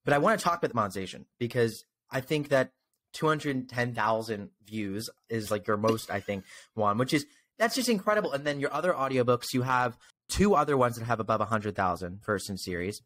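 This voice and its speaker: A man's voice: medium pitch, lively intonation, brisk, fairly bright tone. A young man interviewing a guest casually as a podcast host, speaking close to a desk microphone on a video call from home. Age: young adult.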